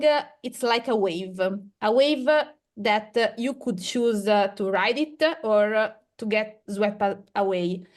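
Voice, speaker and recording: Slow, hesitant woman on a video call. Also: mid-range voice. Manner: slow, hesitant, many fillers. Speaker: research director, guest. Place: office room with windows.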